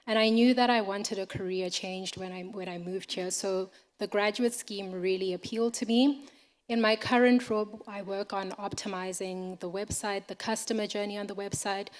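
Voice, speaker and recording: South African woman giving a talk. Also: mid-range voice. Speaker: graduate scheme trainee. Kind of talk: short talk to an audience.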